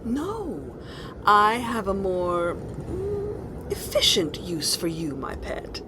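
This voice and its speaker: A woman's voice: medium pitch, wildly swooping pitch, slow, noisy background. A woman playing a cruel, impatient evil empress in a fantasy audio drama, haughty in her commands and savoring her threats. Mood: menacing, scheming.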